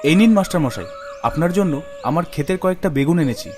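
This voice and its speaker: A man's voice: on the high side, animated, fast, darkish tone, voice pushed up, lightly acted. A man voicing a poor, earnest village farmer, a humble father, in a cartoon. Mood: respectful. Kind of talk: cartoon character acting. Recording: music behind the voice.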